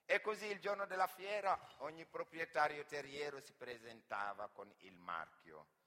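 A man from Senegal, an actor and griot, delivering a dramatic, deliberate stage monologue with weighty pauses. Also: a little high, bright, forceful tone. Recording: echoey hall with long reverb.

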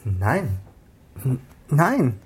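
A man's voice: medium pitch, big pitch swings, extremely slow, breathy edge, full character voice. An actor playing a guarded, subdued young male police officer in a naturalistic radio crime drama. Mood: uneasy, bitter. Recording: faint music behind the voice. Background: music.

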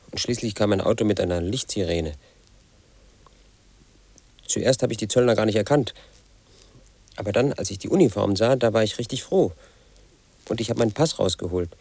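A gravelly, paranoid man. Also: fairly low pitch, darkish tone, no room sound. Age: middle-aged. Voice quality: gravelly, husky. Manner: rambling, confiding, sinks to a whisper. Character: confused paranoid man, late 30s, unravelling. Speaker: lead actor. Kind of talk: radio play monologue.

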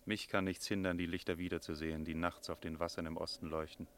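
Deep-voiced, haunted mystical stranger. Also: male voice, little pitch movement, fast, a bit bright, a little hiss or hum. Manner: solemn, poetic, haunted. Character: eerie, mystical wandering stranger from Masuria. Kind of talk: German radio drama acting.

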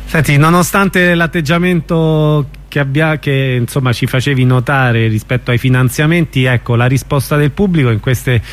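A man's voice: mid-range voice, fairly even intonation, moderate pace, few pauses. A man hosting an informal radio interview, asking questions and murmuring attentive agreement.

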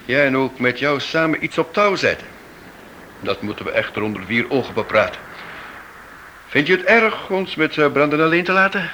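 An actor playing a wry, shrewd writer and amateur sleuth in a radio drama, with a dry, probing manner. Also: male voice, on the high side, expressive, normal speed, bright, forceful tone. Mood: frank, probing. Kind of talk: radio drama acting in Dutch. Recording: noisy old radio play recording.

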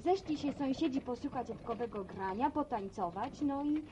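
An actress playing a homely, plain-spoken village gossip in a radio drama, confiding stories. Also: female voice, sits high, expressive, moderate pace, warm tone. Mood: gossipy, confiding. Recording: dull lo-fi recording, noisy scene sounds.

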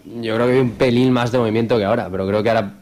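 A man with a low voice and a Spanish accent talks quickly as an interview guest in a radio studio. His chat is casual and colloquial, full of slang and repeated phrases. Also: steady tone, darkish tone. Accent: Spain. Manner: colloquial, repetitive filler-heavy slang. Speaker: band vocalist and lyricist being interviewed.

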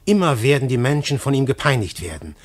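An actor narrating in first person as a man waking from a dream, slow, hushed and reflective, in an old radio play. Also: mid-range voice, very animated. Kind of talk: 1950s radio drama narration.

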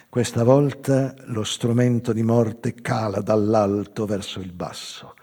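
An older man giving a slow, measured, theatrical reading of a literary text, with a husky, dark voice. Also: medium pitch. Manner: slow, measured, theatrical delivery with swelling and hushed phrases. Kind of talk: dramatic literary narration with live music. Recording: long hall reverb, faint music. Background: live music.

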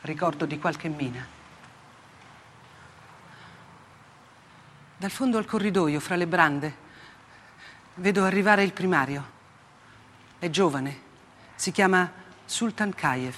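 An actress playing a grave, unflinching woman war reporter in a theatrical monologue, speaking in short, deliberate phrases with dramatic pauses. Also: fairly low pitch, a bit bright, slight background noise. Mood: somber, stark. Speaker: stage actress. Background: plucked guitar-like music.

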